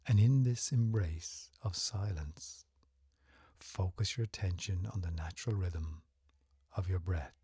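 A man leads a guided meditation in a low, mellow voice that is heavily breathy and often close to a whisper. His delivery is slow, gentle and soothing, with unhurried phrasing throughout. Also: dry recording.

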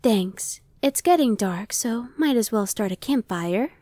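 Casting: woman to play a laid-back, dry-witted she-demon for ASMR roleplay, voice a bit high. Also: lively intonation, unhurried, mellow, no echo. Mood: casual, resigned. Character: young female demon scout Evanescence, casual, dry-witted, inwardly brooding. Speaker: voice actor. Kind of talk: ASMR roleplay audio drama.

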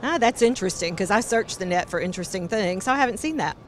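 A woman's voice: mid-range voice, lively intonation, fast, slight background noise. A woman answering street interview questions outdoors in a city, talking in an articulate, self-assured way into a handheld mic. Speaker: passerby interviewee. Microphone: handheld mic held by interviewer.

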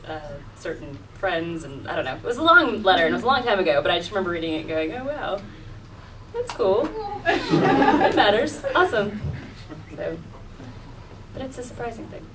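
Female panelist, lowish lively voice, hesitant; distant pickup in noisy hall. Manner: hesitant, giggly. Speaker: voice actress panelist. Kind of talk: convention panel talk. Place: large convention hall. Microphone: table mic, far camera.

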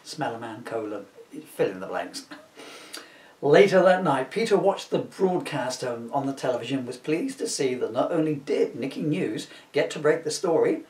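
A middle-aged man narrating a comic storybook at home with casual asides, amused and expressive. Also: medium pitch, moderate pace. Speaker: author reading aloud. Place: small living room at home. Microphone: camera a few metres away. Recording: roomy, slightly distant camera mic.

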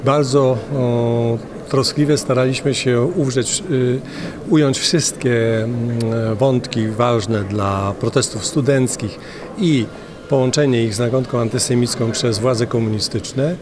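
An older man, a member of parliament, answering interview questions haltingly and with many filler sounds. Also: mid-range voice, steady tone, background noise. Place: large indoor parliament hall. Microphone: handheld interviewer mic.

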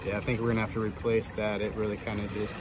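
A man's voice: lowish voice, flat delivery, very fast. A young man filming his own fishing and cooking vlog outdoors, talking to the camera with an enthusiastic, exclaiming manner. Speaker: fishing vlogger. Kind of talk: casual outdoor vlog narration. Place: outdoors on a coastal jetty and grassy shore. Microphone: camera mic at arm's length. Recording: outdoor noise from wind and surf.

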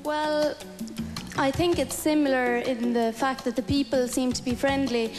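Young woman, high steady voice. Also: measured pace, big-room sound, over music. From Ireland. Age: young adult. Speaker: pageant contestant. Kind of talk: live onstage interview answers. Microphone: handheld mic held by host.

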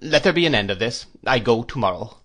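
A man's voice: medium pitch, lively intonation, fast talker, fairly bright tone. A man voicing a severe, arrogant woman with a curt, imperious manner, in a classic novel reading. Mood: imperious, offended. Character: firm, gloomy, arrogant sister-in-law, a severe woman. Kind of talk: classic novel read aloud.

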